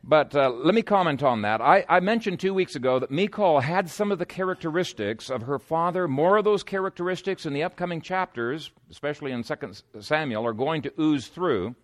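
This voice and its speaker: Animated, higher-voiced male preacher. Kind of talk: expository sermon.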